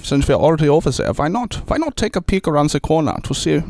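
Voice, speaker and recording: A sneaky, playful German man, as a comedian puts him on. He talks in a high, sing-song, teasing voice with a German accent, and the timbre is clearly changed from the speaker's own. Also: big pitch swings, fast talker, a little mellow, dry recording, clean studio sound.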